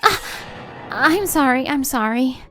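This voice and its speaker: A woman's voice: sits high, animated, unhurried, fairly bright tone, faint music behind. A woman voicing a polite, easily flustered, apologetic young woman in a manga story. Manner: apologetic, hesitant.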